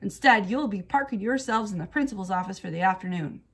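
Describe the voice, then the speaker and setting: A woman voicing a stern, exasperated male schoolteacher in a storybook, measured and admonishing. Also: medium pitch, expressive, slightly rough.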